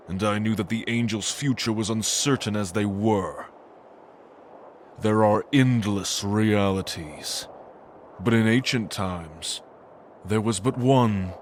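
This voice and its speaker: Man playing a slow, pompous old angel lord. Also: on the low side, slight background noise. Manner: pompous, slow, menacing declamation. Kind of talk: fantasy audio drama acting.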